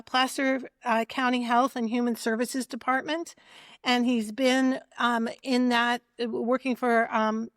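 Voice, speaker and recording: A woman moderates a community forum, introducing each speaker in a halting way full of fillers. Her voice sits a little high and is recorded close and dry, with no room echo. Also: fairly bright tone. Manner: hesitant, many ums and restarts.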